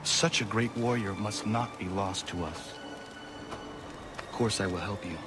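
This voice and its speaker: Male actor as stoic young warrior, lowish voice, grave formal delivery, video game hero, loud music underneath. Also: unhurried, lightly acted. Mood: grave, loyal. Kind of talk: video game dramatic acting.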